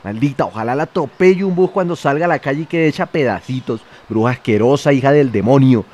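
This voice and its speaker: A man's voice: medium pitch, fast, darkish tone, lightly acted. A Colombian man playing an anxious, courteous but volatile priest on the run in an audio drama. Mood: furious cursing.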